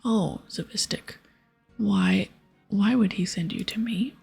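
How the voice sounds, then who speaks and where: A grumpy, suspicious old man acted out by a woman grumbles in a very breathy whisper. The delivery is hesitant and huffy, with some fry, in ASMR game style over soft music. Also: mid-range voice, slow pace, exaggerated voice. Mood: huffy, defensive.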